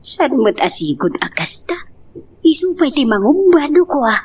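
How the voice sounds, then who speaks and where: A woman playing a concerned, protective older mother and grandmother in a family audio drama, with a husky voice. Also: high voice, sing-song, normal speed. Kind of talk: Ilocano radio-style drama acting.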